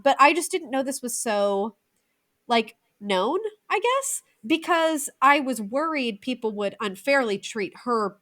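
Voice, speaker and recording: An American woman in her thirties chats through a film review podcast with a bright, lively voice that leaps up and down in pitch. She talks in a chatty, excitable way, full of quick asides. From United States. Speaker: podcast co-host. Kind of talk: casual film review chat.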